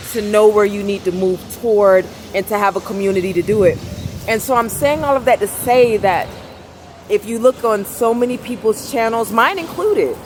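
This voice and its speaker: Soft-spoken raspy woman vlogging outdoors. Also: medium pitch, a little hiss or hum. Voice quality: velvety, raspy. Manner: soft-spoken, rambling, trying to talk louder. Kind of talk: casual monologue. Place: outdoors on a city street.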